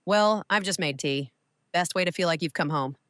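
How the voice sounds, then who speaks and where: A woman playing a shrewd, composed older widow and mentor in an audio drama, speaking with deliberate authority. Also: on the low side, fairly bright tone, dry recording, close to an everyday voice. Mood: welcoming.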